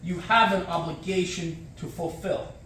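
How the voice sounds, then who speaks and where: A man's voice: high-pitched, steady tone, measured pace, a bit bright. A man giving a motivational conference talk as a guest speaker, emphatic, in short punchy phrases with rhetorical questions and repetition. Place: echoey indoor room, speaking to an audience. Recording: echoey room, distant mic, faint noise.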